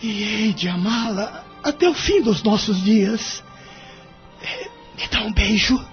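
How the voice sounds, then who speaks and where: An actor playing a sentimental, devoted husband, tender and hesitant, in an audio drama. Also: male voice, high voice, slow pace. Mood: tender, moved. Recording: dull lo-fi audio, music underneath.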